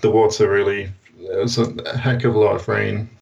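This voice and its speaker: A British man answering as an interview guest in a hesitant, measured conversational way. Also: fairly low pitch, level tone, gravelly, a little mellow, distant mic, dull audio. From United Kingdom. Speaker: guest, science blogger.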